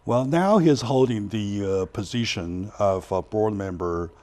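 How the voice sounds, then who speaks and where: A man hosts a community TV talk show. He speaks haltingly, restarting phrases and filling gaps with "uh". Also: lowish voice, a little mellow. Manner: halting, many restarts and fillers. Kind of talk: community TV interview.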